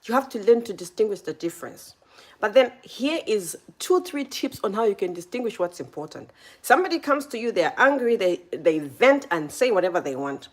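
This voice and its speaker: A woman's voice: mid-range voice, a little fast. A woman from Zambia hosting a live stream, giving motivational advice and speaking straight to her viewers. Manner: animated, speaks straight to viewers, greets chat by name.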